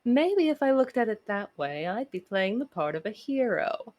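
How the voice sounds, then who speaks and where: A woman's voice: fairly high pitch, very animated, moderate pace, darkish tone, dull audio. A woman voicing a bitter, self-important female adventurer in a visual novel, whiny and dramatically sardonic. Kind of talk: acted visual novel narration.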